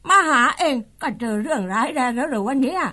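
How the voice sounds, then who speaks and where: A woman playing an anxious, sharp-tongued older village mother who scolds roughly in a radio-style drama. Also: high-pitched, animated, fast talker. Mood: alarmed. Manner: scolding, rough rural speech.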